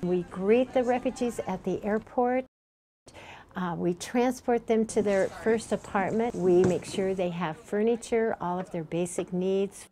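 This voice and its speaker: Woman, resettlement worker, matter-of-fact, mellow tone, faint clicks. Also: medium pitch, measured pace, a little hiss or hum. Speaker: resettlement staff. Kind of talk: explaining her work.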